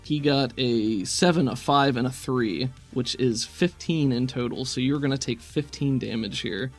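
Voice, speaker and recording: A man with a mid-pitched voice acts as game master, with faint music behind him. He describes scenes like a storyteller, then drops into informal rules talk. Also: moderate pace. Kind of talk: narrating a tabletop roleplaying game, casual rules talk.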